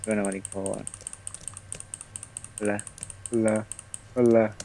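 A man's voice: fairly low pitch, gravelly, a little hiss or hum. A young man chatting playfully while playing a video game, teasing and laughing a lot. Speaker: gamer. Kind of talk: casual chat while gaming.

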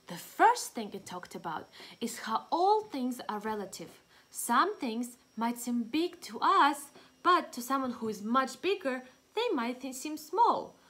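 A woman's voice: a little high, a bit bright. A young woman doing a picture-book read-aloud for small children, expressively explaining and asking questions. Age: young adult. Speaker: preschool teacher reading aloud. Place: living room indoors.

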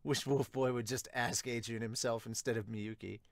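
A man in his thirties hosts an informal review, thinking aloud as he goes. His voice sits at an average pitch with an expressive, casual delivery. The sound is close and dry, with little room echo. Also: normal speed. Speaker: host of manga review channel. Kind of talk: casual reaction and commentary to viewers. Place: small home room, indoors.

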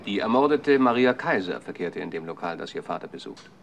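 A calm, knowing police detective in a radio crime drama. The voice sits fairly low and makes its points evenly. Faint noise runs through the old recording. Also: male voice, fairly quick, gravelly. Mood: calm, pointed. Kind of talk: German crime radio play acting.

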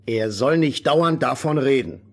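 An actor playing a stern, stubborn middle-aged miller, gruff and curt, in a fairy-tale audio drama. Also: male voice, mid-range voice, a little slow. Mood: gruff, angry.

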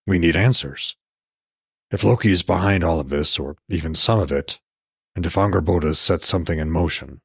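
A weary old Norse god, played by a male narrator speaks in a very low, husky voice. He is stubborn and measured, with terse lines, in a dry-sounding fantasy audiobook. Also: no echo, full character voice. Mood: firm, weary.

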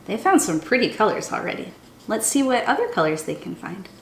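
A young woman, a children's storytime teacher, reading a picture book aloud in an animated, sing-song way, speaking straight to young listeners. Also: mid-range voice, fairly quick, slight background noise. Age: young adult. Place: small room indoors. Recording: roomy indoor echo.

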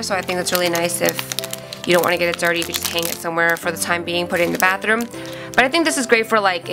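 A young woman with a stuffy, nasal voice from a cold, chatting casually as she unboxes and reviews small items. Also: on the low side. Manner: chatty, thinking aloud with fillers. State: sick and nasal. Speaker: unboxing vlogger. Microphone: off-camera voice over hands-only tabletop shots.

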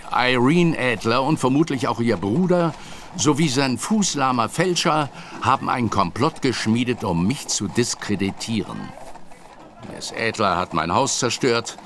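A man's voice: mid-range voice, a bit bright, a little hiss or hum. An actor playing a ruthless, vengeful aristocrat and grieving father who makes cold, clipped threats in a radio drama. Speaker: villain.

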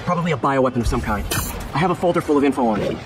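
An actor playing an earnest young American superhero scientist in a video game, casual and hesitant with awkward wisecracks. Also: male voice, mid-range voice, lively intonation, rapid-fire, close to an everyday voice. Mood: focused. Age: young adult. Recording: music behind.

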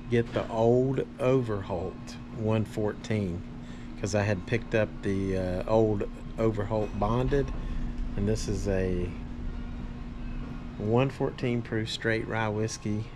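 A man in his fifties, filming a casual vlog in a liquor store, talking keenly about bottles and prices, with the camera held at arm's length. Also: on the low side. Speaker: vlogger. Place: large liquor store, indoors. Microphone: action camera at arm's length. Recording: noisy store ambience.

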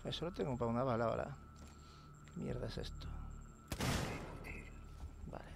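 A man's voice: mid-range voice, moderate pace, gravelly, heavy background noise. A man with a Spain Spanish accent, casually commenting on gameplay, swearing and muttering to himself.

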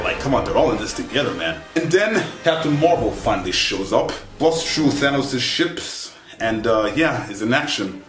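Young man, casual reviewer in echoey room. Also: medium pitch. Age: young adult. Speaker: film reviewer. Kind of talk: casual spoken movie review to camera. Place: small wood-furnished bedroom, indoors.

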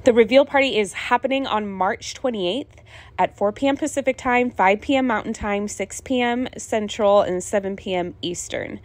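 A woman's voice: mid-range voice, normal speed. Chatty, enthusiastic woman podcast host. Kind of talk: casual solo podcast talk.

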